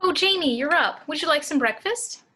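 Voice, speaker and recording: A woman's voice: high-pitched, expressive, normal speed, sharp tone. A woman playing a solicitous older woman of the house in an amateur table read.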